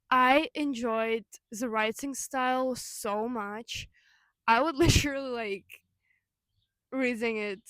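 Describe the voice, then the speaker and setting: A woman's voice: fairly high pitch, no echo. A young woman whose first language is Ukrainian, talking in a reflective, conversational way with fillers and self-corrections. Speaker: vlogger. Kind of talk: reflective personal monologue.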